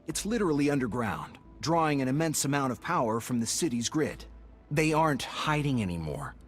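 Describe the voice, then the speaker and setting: An actor playing a serious, matter-of-fact squad officer in a video game. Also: male voice, medium pitch, sing-song, a little fast, soft background music, natural voice.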